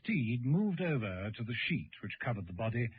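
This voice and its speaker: A man narrating a spy adventure radio drama in a measured, dramatic storytelling style. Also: medium pitch, big pitch swings, slight background noise, lightly acted. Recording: dull old radio recording, music.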